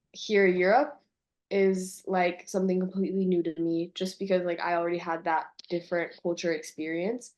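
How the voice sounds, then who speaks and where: A young woman who grew up between California and Colombia, a university student abroad, talking reflectively as an interview guest and often saying "like". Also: medium pitch. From California, USA, and Colombia. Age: young adult. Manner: reflective, says "like" often, slows to stress words. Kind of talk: casual interview chat.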